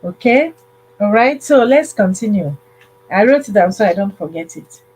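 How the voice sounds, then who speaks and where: A woman hosting a livestream, casually telling a story in a halting way, repeating phrases and checking in with listeners. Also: medium pitch, animated, a little mellow, husky, rough recording. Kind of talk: casual spoken storytelling with advice.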